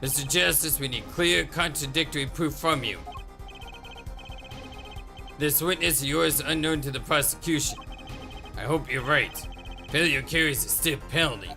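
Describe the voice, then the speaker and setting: A man voicing a stern older male judge in a courtroom game, speaking in a formal, measured way. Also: on the high side, vocal fry, music in the background.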